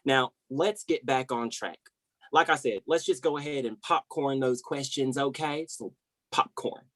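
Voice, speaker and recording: Male actor as over-eager manager, mid-pitched, gushing and rambling, very dry recording, comedy web series. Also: a little slow, a bit bright, no echo, natural voice. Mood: placating. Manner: effusive, rambling, repeats himself, stumbles over words.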